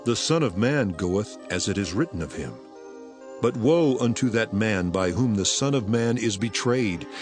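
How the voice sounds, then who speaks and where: Male actor as solemn authoritative teacher, lowish voice, lively intonation, measured archaic speech, scripture drama, strong music bed. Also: natural voice. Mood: grave, foreboding. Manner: solemn, measured, weighty delivery. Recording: voice over prominent music.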